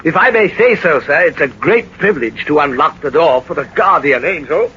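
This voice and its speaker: An actor playing a loyal, deferential sidekick servant in a 1940s radio drama, eager and cheekily polite. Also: male voice, on the high side, lively intonation, moderate pace, close to an everyday voice. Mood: eager, respectful. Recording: hissy, dull 1940s radio recording.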